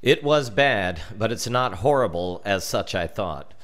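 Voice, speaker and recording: Expressive low-voiced American male storyteller. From United States. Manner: theatrical, expressive delivery with dramatic pauses. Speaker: storyteller reading his comic family memoir. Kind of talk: scripted storytelling narration.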